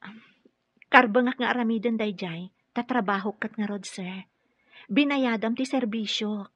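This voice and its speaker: A woman acting in a radio drama as a modest, earnest caregiver with a gentle, hesitant manner. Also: a little high, unhurried, breathy edge, dry recording. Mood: surprised, modest. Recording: dull muffled radio drama recording.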